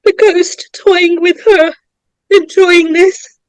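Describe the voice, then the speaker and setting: A woman narrator reading horror screenplay stage directions in a dramatic, suspenseful way, with deliberate pauses. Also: extremely high pitch, fairly even intonation, dry recording. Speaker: narrator of a script table read.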